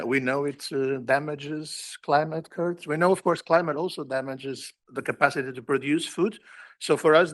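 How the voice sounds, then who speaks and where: A man's voice: medium pitch, fairly bright tone. A man speaking on a conference panel about his agency's work, conversational and thinking aloud with some restarts.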